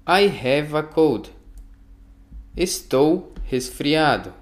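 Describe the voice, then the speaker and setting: A man teaching phrases, reading each one slowly and repeating it for learners. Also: on the high side, sing-song. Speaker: language teacher. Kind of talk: slow phrase drill for learners.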